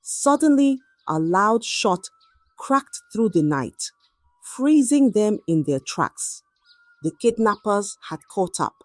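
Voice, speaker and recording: A woman telling a dramatic scripted story in an expressive, measured and suspenseful way. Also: mid-range voice, no room sound.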